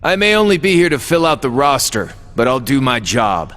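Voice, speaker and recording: An actor playing a cocky, sardonic rival in a video game, wry and swaggering. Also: male voice, mid-range voice, sing-song, moderate pace, a bit bright, slight background noise. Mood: wry, challenging. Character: cocky, sardonic masked rival, fighting out of duty. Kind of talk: English video game dub acting. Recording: echoey hall reverb.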